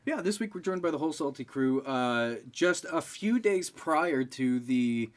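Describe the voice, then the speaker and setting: A man's voice: fairly high pitch, animated, unhurried. A man hosting a podcast in a casual, comedic style. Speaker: podcast host.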